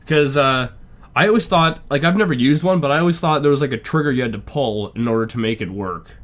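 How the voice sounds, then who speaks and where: A man's voice: medium pitch, fast talker. A man hosting a reaction video, chatting casually in a rambling way with lots of "like". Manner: rambling, with frequent "like".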